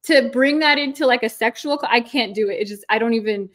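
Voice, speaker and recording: A woman's voice: on the high side, quick pace. Laughing woman guest. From United States. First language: Farsi. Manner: animated, laughs between sentences. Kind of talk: casual interview chat.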